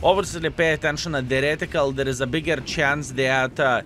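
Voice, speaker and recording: A man's voice: medium pitch, fairly even intonation, fairly quick, bright, forceful tone, a little hiss or hum. A man with a Lithuanian accent, hosting a video and chatting casually through a game, often calling his viewers "fellas". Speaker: gaming video host. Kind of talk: casual gameplay commentary.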